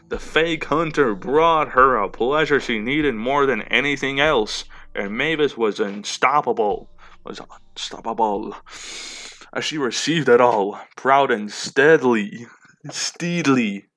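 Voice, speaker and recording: A man's voice: mid-range voice, pushed and bright. A man narrating fiction aloud in an amateur style, now and then stumbling and repeating a phrase.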